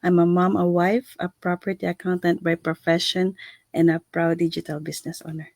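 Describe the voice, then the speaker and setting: A woman's voice: on the low side, little pitch movement, a little fast, darkish tone, dry recording. A woman from the Philippines with a Filipino accent, explaining things informally as a co-host. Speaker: co-host, digital business owner.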